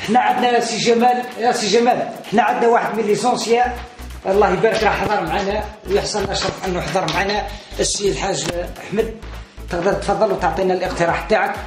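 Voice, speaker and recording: A man with an Algerian accent playing the brisk chairman of a comic mock meeting, calling on speakers and repeating his approval. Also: sits high, steady tone, lightly acted. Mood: approving. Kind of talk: comedy sketch dialogue. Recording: roomy echo with music behind.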